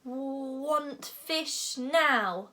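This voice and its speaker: A woman's voice: sits high, extremely slow, pushed and bright, pitched above the performer's own voice, heavily acted. A woman voicing a small, greedy, demanding male dragon in a storybook, speaking in blunt, baby-like broken phrases. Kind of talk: storybook character voice.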